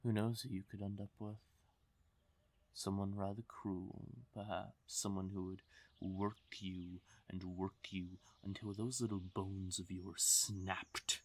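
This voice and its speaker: Male actor as regal, taunting fae king for fantasy audio roleplay. Very unhurried, husky and whispery, condescending, quietly menacing. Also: low-pitched, flat delivery, heavily acted. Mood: possessive, condescending. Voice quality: breathy, often dropping to a whisper. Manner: condescending, slow purring menace, theatrical. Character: haughty, teasing male fae king of the forest. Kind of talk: fantasy audio roleplay, speaking directly to listener.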